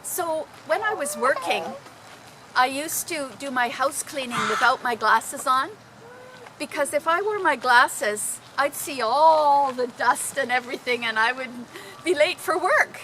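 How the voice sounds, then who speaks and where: A woman telling personal stories informally to a group, in an animated, dramatic manner. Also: high voice, a little slow, a little hiss or hum, low-quality recording. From Canada. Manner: animated, dramatic, acting out exclamations. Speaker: storyteller.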